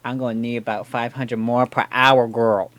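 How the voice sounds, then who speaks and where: Very low-voiced sassy woman streaming a game. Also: flat delivery, normal speed, noisy background. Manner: sassy, slangy asides. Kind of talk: casual reacting and commentary while playing a game. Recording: game music behind the voice.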